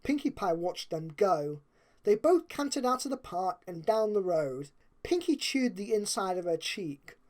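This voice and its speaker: A woman reads a story aloud as its narrator. Her voice is soft-edged and mellow, at an average pitch. The delivery is expressive, with wide, lively rises and falls. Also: measured pace.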